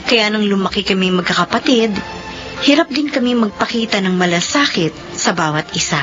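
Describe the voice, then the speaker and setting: A woman's voice: medium pitch, animated, fairly quick, lightly acted. An actress in her thirties playing an emotional, tearful daughter and office officer who also narrates, in a radio drama. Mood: reflective. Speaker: lead character and narrator. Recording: dull lo-fi radio recording with music.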